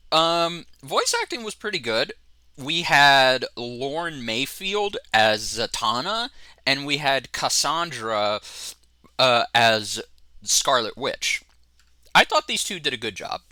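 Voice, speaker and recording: A man's voice: mid-range voice, big pitch swings, slow, bright, forceful tone, dry recording. A man co-hosting a casual review chat, talking in an enthusiastic, informal way. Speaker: co-host of a fan review show.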